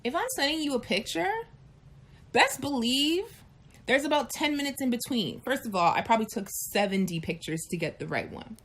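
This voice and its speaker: High-ish lively woman, late 30s, frank chat-show storyteller doing little voices. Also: moderate pace. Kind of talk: candid casual chat.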